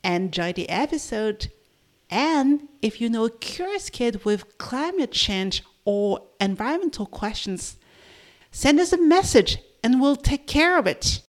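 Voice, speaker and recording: Slow, lively woman podcast host. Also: on the high side. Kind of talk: scripted introduction.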